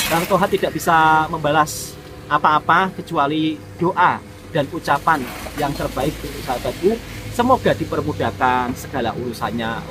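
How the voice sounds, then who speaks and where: A man's voice: medium pitch, background noise. A man from Indonesia vlogging in a friendly, devout manner, often referring to himself by name in the third person. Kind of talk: casual vlog talk to viewers.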